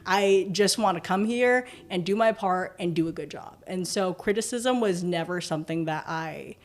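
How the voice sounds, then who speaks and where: A young woman with a fairly deep voice for a woman, chatting casually as a podcast guest and acting out what people say to her. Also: moderate pace. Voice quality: deeper voice for a woman. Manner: animated, acts out quoted remarks with swearing.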